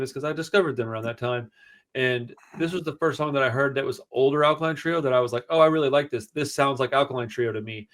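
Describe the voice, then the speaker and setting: A man with a big, booming voice that he projects without shouting. He talks in a loose, slangy, rambling way, piling opinion on opinion as he co-hosts a record review show. His pitch sits in an everyday middle range. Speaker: podcast co-host. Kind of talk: casual music review chat.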